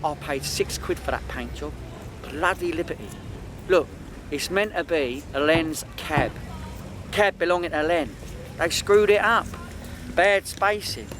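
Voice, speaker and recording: An actor playing a hapless, boastful small-time crook and cab driver with a London accent, chatting and rambling in a radio comedy. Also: male voice, on the high side, measured pace, a bit bright, slight background noise. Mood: defensive.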